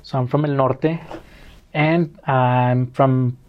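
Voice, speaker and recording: A man in his twenties from Mexico, a podcast guest who talks in a relaxed, joking and thoughtful way. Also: medium pitch, slow, muffled recording. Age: 20s. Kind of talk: casual interview conversation.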